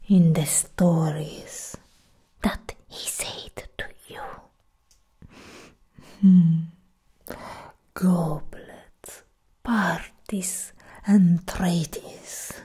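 A young woman playing a proud, menacing goddess of death in a soft-spoken ASMR roleplay, breathy and whispered, close to the mic. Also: low voice, heavily acted. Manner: slow, deliberate, menacing. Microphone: close ASMR microphone.

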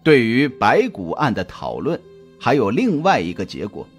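A man narrates a suspense novel with deliberate, dramatic expression. His voice is husky and sweeps widely in pitch. Soft music runs behind the storytelling. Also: huge pitch swings. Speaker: audiobook narrator. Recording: music under the voice. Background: background music.

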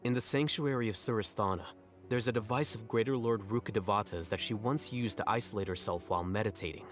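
A man playing an aloof, arrogant young scholar in a video game, composed and deadpan, with precise, formal diction. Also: fairly low pitch, fast, lightly acted. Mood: calm, matter-of-fact. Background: game music.

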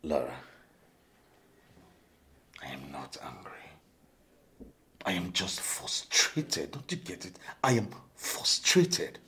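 A man's voice: sits low, wildly swooping pitch, moderate pace, few pauses, a bit bright, echoey room, full character voice. An actor playing a wounded, frustrated ex-convict man who pleads and repeats himself in naturalistic film drama. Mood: tense, frustrated. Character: frustrated male ex-convict, wounded and simmering with anger.

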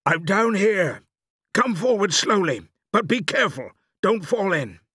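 A man's voice: on the low side, normal speed, bright, forceful tone, no room sound, clean studio sound. A man voicing a loving father in an audiobook story, hurt and trapped, urgent but reassuring to his child. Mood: urgent shouting.

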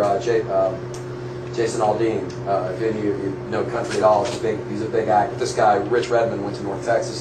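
A man speeds through a drum clinic talk, rambling and animated, tripping over his own restarts. He is heard from a distance in a room with a strong echo. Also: lowish voice. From Florida. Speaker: guest drummer. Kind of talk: clinic talk to a live audience. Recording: echoey room, mic far off, noisy.

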